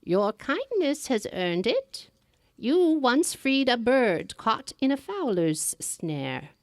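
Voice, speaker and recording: Folktale crone acted by a female storyteller: mysterious, kindly, slow foreboding delivery, dry sound. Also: fairly high pitch, very animated, darkish tone, no room sound, voice pushed up, exaggerated voice. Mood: mysterious, cautioning. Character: mysterious kindly old woman giving warnings.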